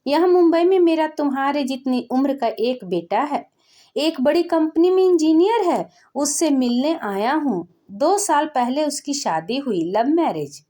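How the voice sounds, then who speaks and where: A woman's voice: high-pitched, animated, unhurried, mellow. A woman voicing a poor old father from a village who speaks in a pleading, bewildered way, in a spoken storybook.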